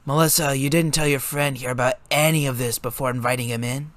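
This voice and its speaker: A performer voicing a calm, reserved, pale blue male character with a low-key manner in a story reading. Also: a little fast, no room sound, close to an everyday voice. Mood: questioning.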